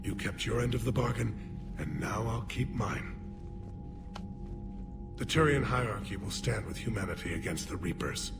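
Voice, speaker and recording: A man voicing a dignified alien military leader in a video game, speaking in a formal, measured, authoritative way. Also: fairly low pitch, vocal fry, music in the background. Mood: solemn, resolute. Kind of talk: dramatic video game acting.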